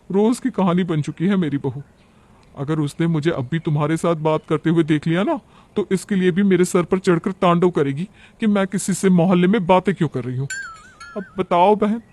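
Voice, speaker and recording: A man's voice: high-pitched, a little mellow, close to an everyday voice. A man voicing a complaining middle-aged neighbour woman in a cartoon family story, plaintive and gossipy.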